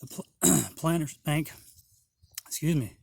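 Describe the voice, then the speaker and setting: A man narrating a metal detecting hunt outdoors in a field, hoarse and awed, talking slowly with many exclamations. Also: a little high, animated, a little mellow, dry recording. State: hoarse, losing his voice. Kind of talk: casual outdoor narration to camera.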